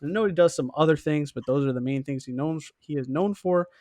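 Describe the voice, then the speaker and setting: A man's voice: a little high, fairly quick, mellow, dry recording, studio quality. A young man hosting a podcast in a casual, chummy, slangy interview style. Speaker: podcast host.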